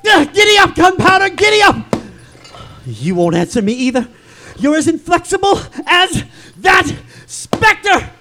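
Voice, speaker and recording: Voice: high voice, sing-song, measured pace, hardly any pauses, sharp tone, a little hiss or hum, exaggerated voice. An actor playing a timid, pompous, superstitious schoolmaster in a theatrical audio drama, florid and melodramatic, given to stammering. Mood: desperate, pleading. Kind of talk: theatrical audio drama of a classic ghost story.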